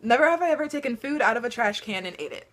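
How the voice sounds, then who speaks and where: A teenage girl chatting on camera at home, her voice bright and forward. She tells stories in a loose, chatty way, laughing often and filling gaps with ums. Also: fairly high pitch, animated, racing. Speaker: vlogger. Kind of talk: casual storytelling chat.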